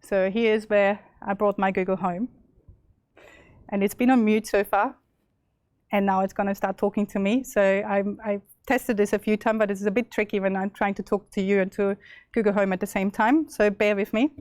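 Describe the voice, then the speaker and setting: Young Austrian woman demoing software live, loose and chatty, dry mic. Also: mid-range voice, steady tone, normal speed, no room sound. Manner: chatty, many fillers and restarts. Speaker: presenter. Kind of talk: live tech demo walkthrough.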